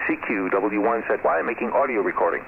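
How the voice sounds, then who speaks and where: A low-voiced American man comes through a tinny shortwave radio with static hissing around him. He makes ham radio calls off the cuff, halting and polite, spelling out callsigns with deliberate care. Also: expressive, creaky. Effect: shortwave radio transmission. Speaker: amateur radio operator. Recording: narrow tinny radio audio, loud static hiss.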